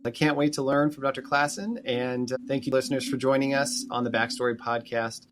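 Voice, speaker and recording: A man with a medium-pitched voice co-hosts a podcast. He delivers conversational commentary, setting out his own opinion. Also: steady tone, brisk. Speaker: podcast co-host.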